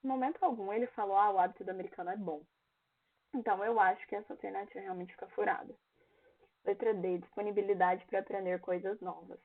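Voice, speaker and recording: Woman, young adult, Brazilian Portuguese accent, exam review teacher, mid pitch, tinny phone-like audio. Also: fairly even intonation, fast talker. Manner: reads English lyrics aloud, then translates and explains each line. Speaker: English teacher.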